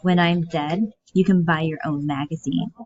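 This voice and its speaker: A woman's voice: deep voice, steady tone, normal speed, a little mellow, natural voice. A woman voicing a stern, bitter, domineering old grandmother from a stage play. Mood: cold, commanding. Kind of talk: reading a stage play aloud. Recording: dull, muffled lo-fi audio.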